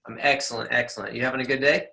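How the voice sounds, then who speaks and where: A man hosting a podcast, easygoing and quick to laugh, moving from a scripted intro to a casual interview. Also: mid-range voice, fairly even intonation, gravelly. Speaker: podcast host. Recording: loud music behind the voice.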